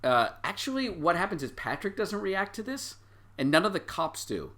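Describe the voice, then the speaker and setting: Guy game mastering, bright forceful voice, makes up the story as he goes and acts out little quotes. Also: sits high, big pitch swings, moderate pace. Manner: acts out characters' lines in quotes while narrating. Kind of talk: improvised tabletop game narration.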